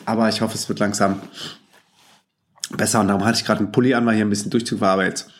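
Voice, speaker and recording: A man's voice: fairly low pitch, flat delivery, very fast. A man hosting a casual podcast monologue, enthusiastic and slangy, with frequent filler sounds and a lingering cold. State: has a cold. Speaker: podcast host. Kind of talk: casual podcast monologue, reading out listener comments.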